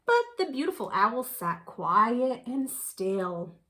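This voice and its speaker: Slow, animated woman reading a picture book. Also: on the high side. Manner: animated, unhurried, dramatic emphasis. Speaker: children's story reader. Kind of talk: expressive picture-book read-aloud.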